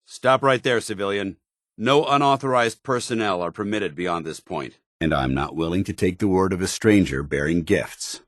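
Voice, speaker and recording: An actor playing a stern, proud and earnest soldier companion in a video game, speaking formally with clipped, commanding delivery. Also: male voice, low-pitched, moderate pace, a bit bright, no room sound, clean studio sound. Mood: commanding, suspicious.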